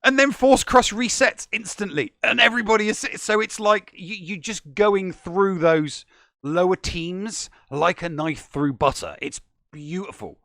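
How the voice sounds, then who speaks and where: A man co-hosts a gaming podcast in a casual, animated way, tripping over his words when he gets excited. His voice is bright and gravelly and sits a little high. It is recorded close and dry. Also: a little slow, no room sound. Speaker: podcast co-host.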